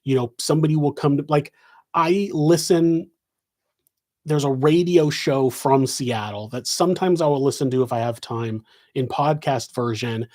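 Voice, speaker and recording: A man's voice: medium pitch, normal speed, a little mellow. A man with a West Coast American accent, hosting a live Q&A and answering in a casual, rambling way full of fillers and restarts. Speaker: podcast host answering questions.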